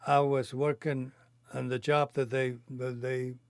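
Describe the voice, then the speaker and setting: A man in his eighties from Cuba, a native Spanish speaker with a Cuban accent, recounting his life in halting, non-native phrasing. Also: medium pitch, level tone, smooth, no echo. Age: 80s. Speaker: interviewee telling his life story. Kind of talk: reminiscing conversation.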